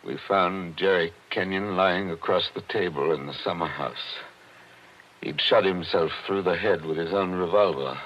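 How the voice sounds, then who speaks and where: An actor playing a lonely attorney haunted by an old death, speaking in a measured, wistful, formal way in a 1940s radio drama. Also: male voice, low-pitched. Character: lonely older attorney haunted by the past, genteel. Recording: muffled old radio broadcast with faint hiss.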